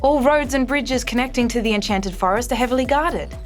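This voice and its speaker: A woman's voice: a little high, fairly quick, soft background music, close to an everyday voice. Woman acting tender, anxious young heroine. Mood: wary. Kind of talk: table read of a fairy-tale script.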